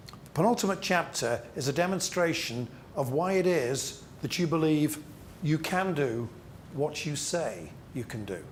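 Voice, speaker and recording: A man leading a business training seminar, speaking emphatically with rhetorical questions and deliberate repetition. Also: on the high side, a little hiss or hum. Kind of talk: interactive training seminar talk to a group.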